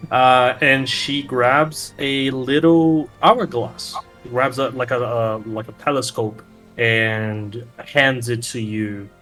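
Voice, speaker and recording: A man's voice: mid-range voice, fairly even intonation, fairly bright tone, faint music behind, rough recording. Brazilian man running a tabletop game. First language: Portuguese. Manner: loose, halting narration with many fillers. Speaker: game master.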